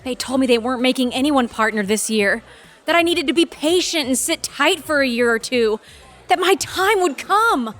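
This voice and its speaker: A woman's voice: high-pitched, animated, normal speed, fairly bright tone. A woman playing an intense, bitter, ambitious lawyer and boss in an audio drama, sardonic and emphatic. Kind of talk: audio theatre acting.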